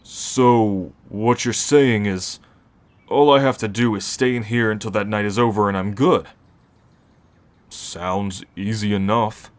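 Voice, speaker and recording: A man's voice: on the low side, steady tone, natural voice. A man playing an anxious, confused, newly bitten werewolf held in a cage, speaking hesitantly in an ASMR roleplay. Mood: uneasy. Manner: hesitant, halting.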